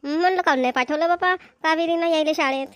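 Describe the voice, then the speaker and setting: A nosy cartoon village woman, acted by a female performer, with a high voice. She is chatty, inquisitive and quick to advise, in a Vidarbha Marathi accent. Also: no room sound. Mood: nosy, surprised. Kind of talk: amateur cartoon comedy dialogue.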